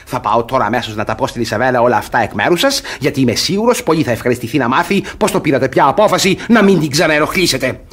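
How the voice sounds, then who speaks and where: An actor playing a smug, gullible, domineering guardian in a radio comedy, with a pompous, gloating manner. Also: male voice, mid-range voice, big pitch swings, hardly any pauses, a bit bright. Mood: condescending. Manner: pompous, self-satisfied, gloating. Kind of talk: theatrical radio comedy acting.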